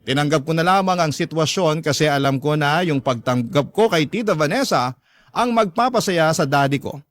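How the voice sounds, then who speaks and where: A man's voice: fairly high pitch, expressive, normal speed, a bit bright. A man with a Filipino accent narrating a listener's letter in the first person, as a dramatic radio storyteller.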